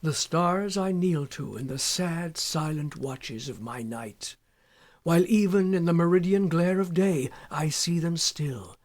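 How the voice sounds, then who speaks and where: A man with a fairly high voice gives a dramatic poetry reading. He takes it very slowly, with impassioned phrasing and frequent pauses, often sinking into a hushed delivery. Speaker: poetry reader.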